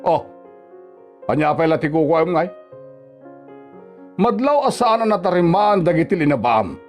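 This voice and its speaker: A man's voice: on the high side, sing-song, moderate pace. A man with an Ilocano accent playing a scornful, nagging father-in-law in a radio drama, scolding with exclamations and sarcastic questions. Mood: angry, nagging. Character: nagging, scornful father-in-law berating his daughter-in-law as lazy. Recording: music bed behind the dialogue.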